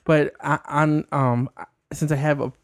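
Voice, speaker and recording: A man's voice: fairly high pitch, steady tone, measured pace. A young man chatting casually as a podcast guest, laughing often and swearing offhandedly. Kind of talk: casual chat.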